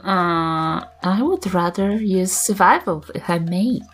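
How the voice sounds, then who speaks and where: A woman playing a tabletop game, chatting playfully and haltingly, often repeating her words. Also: on the low side, lively intonation, soft background music. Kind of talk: casual playful game chat.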